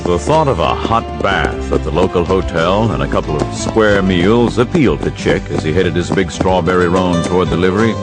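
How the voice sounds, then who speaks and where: A calm male narrator with a very low voice tells a western story in an even, steady manner. Clear music plays behind his voice throughout. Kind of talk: scripted audiobook narration. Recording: music bed under narration.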